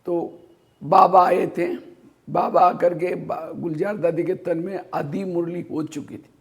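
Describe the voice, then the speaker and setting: A man's voice: high-pitched, steady tone, takes it slowly, vocal fry. A man giving a spiritual class, telling anecdotes and asking rhetorical questions that he answers himself. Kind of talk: Hindi spiritual discourse.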